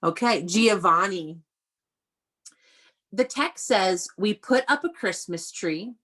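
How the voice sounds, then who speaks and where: A woman's voice: mid-range voice, expressive, takes it slowly, fairly bright tone. An American woman teaching young children in an interactive read-aloud over a video call, praising and prompting them with questions. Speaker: elementary school teacher.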